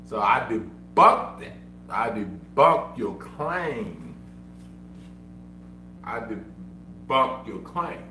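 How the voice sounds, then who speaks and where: Man who stutters, slow deliberate delivery, echoey room, distant mic with a steady hum. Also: on the low side. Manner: repetitive, insistent, self-defending. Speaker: streamer. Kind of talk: casual livestream monologue. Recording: echoey room, far from mic, humming noise.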